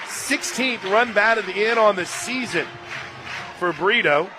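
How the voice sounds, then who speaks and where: A man calling a live softball game on radio, play by play, brisk and rising to excited shouts on big plays. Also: high voice, over music. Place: stadium radio booth.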